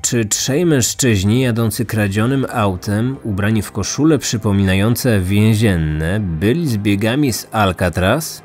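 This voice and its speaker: A man narrates a scripted true-crime story as podcast host. His voice is on the low side, with faint music underneath. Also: a little slow, hardly any pauses, a little mellow.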